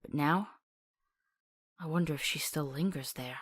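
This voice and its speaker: A woman playing a compassionate, sharp-tongued companion to a monster hunter in a fantasy visual novel, earnest and articulate. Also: low-pitched, measured pace, husky. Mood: somber, reflective.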